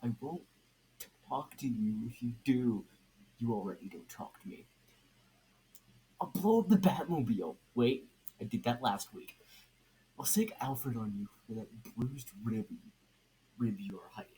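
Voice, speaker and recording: A man's voice: medium pitch, lively intonation, mellow, breathy edge, low-quality recording. A man voicing a brash, sarcastic, whiny male vigilante crime lord in an amateur story reading. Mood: petulant whining. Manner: whining, swearing, halting.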